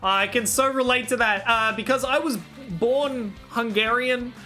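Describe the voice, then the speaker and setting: Young aussie woman streamer, crisp bright tone, rambly and chatty, some faint music behind. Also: fairly high pitch, a little slow. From Australia. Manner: rambling, self-interrupting, exclaiming in surprise. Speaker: streamer reacting to clips. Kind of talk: casual reaction commentary.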